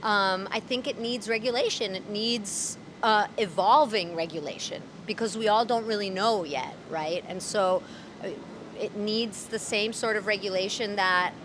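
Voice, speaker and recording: A woman talks candidly as an interview guest out on a city street. Her speech is casual, she laughs easily, and she slips in dark humor. Faint traffic hums in the background. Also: mid-range voice, measured pace. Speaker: interview guest, voice actor and comedian.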